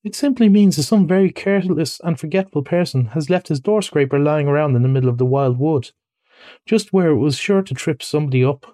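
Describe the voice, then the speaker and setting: A man's voice: fairly high pitch, a little fast, warm tone, no echo, voice pushed up, natural voice. A man voicing a self-pitying, literal-minded mole in a story, with a plaintive, huffy way of complaining. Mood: huffy, sarcastic.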